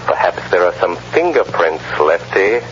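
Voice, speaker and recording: An actor playing a mysterious, mocking avenger in an old radio drama, speaking deliberately and theatrically. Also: male voice, deep voice, very animated. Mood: mocking menace. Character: mysterious, menacing male avenger, calm and mocking. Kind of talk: 1930s-40s radio drama acting. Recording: scratchy, muffled old radio recording.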